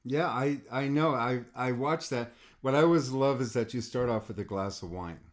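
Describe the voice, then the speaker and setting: A man's voice: mid-range voice, normal speed. A middle-aged man, an art coach hosting a podcast interview, who often repeats words as he starts a thought. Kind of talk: interview conversation.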